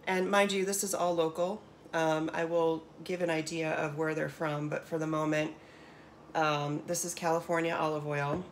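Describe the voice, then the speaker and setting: A woman's voice: deep voice, level tone, moderate pace. An American woman around forty chats enthusiastically and emphatically to camera, like a home cook showing off her groceries in a casual vlog. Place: small home kitchen, indoors. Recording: clearly noisy home room recording.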